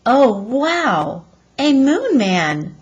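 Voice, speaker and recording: Storybook role: adventurous little girl, raised pitch, eager and exclamatory played by a woman reader. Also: sing-song, drawn-out words, breathy edge, highs cut off, full character voice. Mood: amazed.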